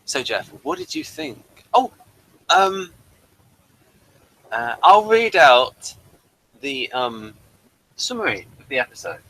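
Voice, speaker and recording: A man's voice: medium pitch, sing-song, takes it slowly, pauses often, sharp tone, a little hiss or hum, rough recording. A man hosting a casual review chat, rambling with self-conscious little asides. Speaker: podcast host reading an episode summary and discussing it.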